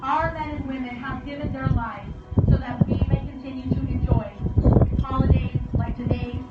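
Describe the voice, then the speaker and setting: A woman delivering a formal commemorative speech as guest speaker at a memorial service, in a solemn, measured manner with deliberate phrasing. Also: high-pitched, fairly even intonation. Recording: echoey, distant, dull and very noisy.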